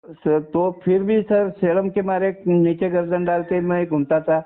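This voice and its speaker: A man's voice: high-pitched, level tone, unhurried. A man of sixty-one from Maharashtra, giving a personal account as a caller, deferentially addressing the listener as sir. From Maharashtra, India. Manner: deferential, constantly says sir. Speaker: caller, former soldier. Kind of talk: personal account on a phone call. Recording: tinny narrowband phone call.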